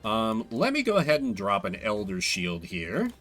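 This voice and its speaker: A man streams a game with casual, wry commentary in a bright, forceful voice. His pitch swings around in a lively way, with mock-dramatic asides. Faint music plays behind him. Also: normal speed. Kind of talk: casual solo gameplay commentary.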